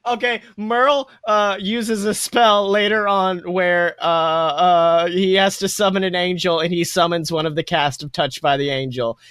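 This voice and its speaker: Higher-voiced young man, gushing podcast chat. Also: steady tone, unhurried, few pauses, fairly bright tone, clear, resonant tone, dry recording. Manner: gushing, rambling, self-interrupting. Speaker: podcast co-host. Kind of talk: casual enthusiastic chat.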